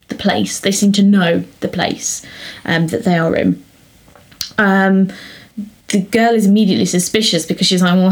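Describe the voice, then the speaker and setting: A woman's voice: medium pitch, poor audio. A young woman co-hosting a casual book podcast, recapping the plot and teasing her co-host dryly.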